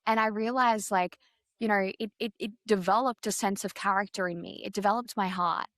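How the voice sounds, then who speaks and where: A woman of medium pitch speaks frankly in an interview and keeps going through fillers and restarts. The sound is dry and close, with no room in it. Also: steady tone, brisk, fairly bright tone, no room sound. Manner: direct, open, with frequent fillers and restarts. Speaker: guest. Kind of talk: candid personal interview.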